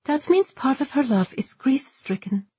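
A woman voicing a reserved young witch in an audiobook, speaking formally and precisely with a flat, dry earnestness. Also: high voice, normal speed, husky, no echo, pitched above the performer's own voice, heavily acted.

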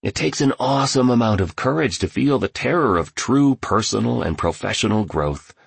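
Man voicing a gravelly, emphatic billionaire mentor. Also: mid-range voice, expressive, moderate pace, dry recording, pitched above the performer's own voice, heavily acted. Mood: earnest, inspiring. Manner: emphatic, exclamatory, preaching. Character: gleeful eccentric billionaire mentor, male, grandly encouraging.

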